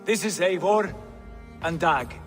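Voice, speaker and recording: An actor playing a commanding, welcoming Viking jarl in a video game, with a formal, measured, oratorical delivery. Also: male voice, high voice. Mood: stately, welcoming. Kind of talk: dramatic video game cutscene acting. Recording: long hall reverb, music behind.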